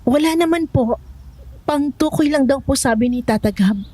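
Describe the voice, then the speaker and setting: A woman's voice: high-pitched, normal speed, dark tone, no room sound, lightly acted. A woman playing a polite, anxious young village woman in an audio horror drama, deferential and hesitant. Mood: polite, evasive.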